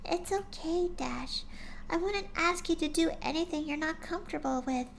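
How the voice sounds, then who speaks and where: Girl doing a shy gentle pony gal, high soft voice, quiet and sweet. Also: normal speed, gravelly, slight background noise. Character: gentle shy young female pegasus pony.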